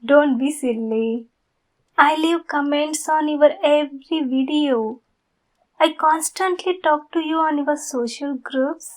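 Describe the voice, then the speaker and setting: Woman acting a slow, high-voiced, obsessed yandere girl. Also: poor audio. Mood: excited, soothing.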